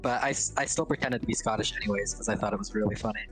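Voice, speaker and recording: A man casting a game tournament, chatty and quick to laugh. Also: lowish voice, faint music behind, poor audio. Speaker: caster. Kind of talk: casual stream chat.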